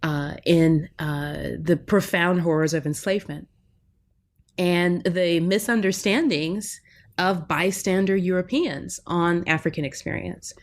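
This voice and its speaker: A woman's voice: lowish voice, unhurried. A woman linguistics professor talking as a podcast guest in a reflective, academic way, often checking in with "right?". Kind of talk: academic interview talk.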